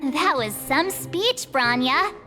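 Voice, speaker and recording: A woman's voice: high-pitched, lively intonation, slow. A woman voicing a perky, friendly teenage girl adventurer in a video game, with a girlish, chummy way of talking. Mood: cheerful, friendly. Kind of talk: animated video game acting. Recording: long reverb, music behind.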